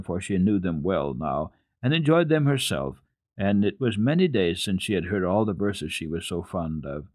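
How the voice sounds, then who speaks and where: Low-pitched male children's storyteller, dry sound. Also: no echo. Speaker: narrator, children's radio storyteller. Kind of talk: dramatic reading of children's literature.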